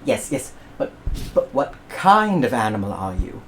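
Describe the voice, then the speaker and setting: Pompous explorer man, as a woman puts him on, fussy, eager, low voice, animated. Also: measured pace, a little hiss or hum, full character voice. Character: fussy, pompous English colonist scientist, man.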